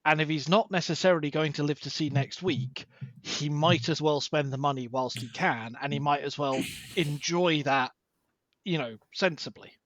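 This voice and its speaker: A man guest on a film podcast, talking in a casual, lively way. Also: a little high, moderate pace, no room sound. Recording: dull lo-fi mic.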